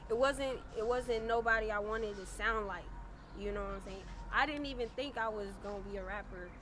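A soft-voiced young woman in her twenties chats casually in an interview, leaning on laid-back slang and filler tags. She is recorded outdoors by a parked car. Clear street noise hums behind her. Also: a little high, brisk. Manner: soft-spoken, laid-back slang, frequent "you know what I'm saying". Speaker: rapper.

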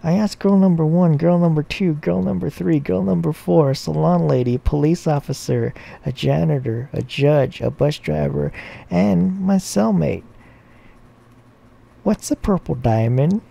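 A man puts on a small boy of eight for a told tale, pitched up above adult talk. The child is innocent and matter-of-fact, explaining himself in plain, simple phrases. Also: a little slow, gravelly, mellow, full character voice.

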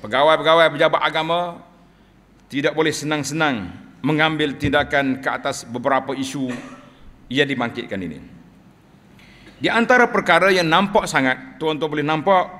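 Middle-aged Malaysian imam, slightly raised pitch, declaiming a Friday sermon into pulpit mics, long hall reverb. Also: male voice, pushed and bright. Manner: declamatory, slows to stress key words. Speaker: Friday preacher. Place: mosque pulpit, indoors. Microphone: two pulpit microphones on stands.

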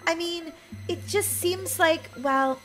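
A woman's voice: extremely high pitch, takes it slowly, a bit bright, slight background noise, heavily acted. Woman acting stern, dismissive dance teacher. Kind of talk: children's roleplay story acting.

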